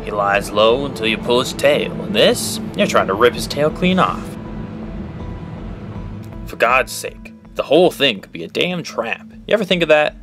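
Casting: man as worried lawman ally, medium pitch, argumentative, superhero audio drama with music underneath. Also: lively intonation, normal speed, lightly acted. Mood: exasperated, urgent. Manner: urgent, argumentative. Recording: loud music behind the voice.

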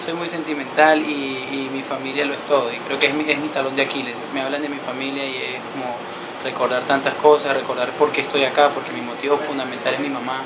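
A man's voice: medium pitch, level tone, quick pace, noisy background. A young man from Colombia answering interview questions in a small room, earnest and grateful, often restarting his sentences. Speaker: guest, actor. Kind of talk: casual interview. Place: small indoor room, seated beside host.

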